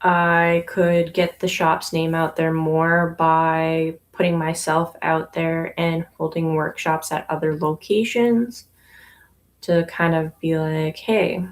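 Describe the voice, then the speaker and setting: Slow, unhurried woman chatting while knitting. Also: low voice. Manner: relaxed, unhurried, with frequent ums and trailing pauses. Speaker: yarn shop owner. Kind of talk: casual livestream chat while knitting. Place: inside her yarn shop.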